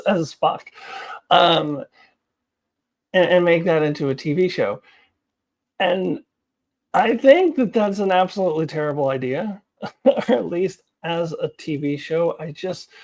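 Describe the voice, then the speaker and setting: A woman's voice: deep voice, a little mellow. A woman giving casual, opinionated commentary as a vlog host, with a wry, blunt, exasperated and giggly manner.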